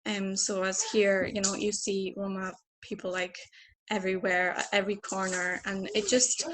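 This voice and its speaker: A woman's voice: medium pitch, little pitch movement, normal speed, a bit bright. Young woman on a noisy video call. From Romania. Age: 20s. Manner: earnest, many fillers and restarts. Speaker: panel speaker. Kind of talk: personal story on a video call panel. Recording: clear noise behind, baby at home.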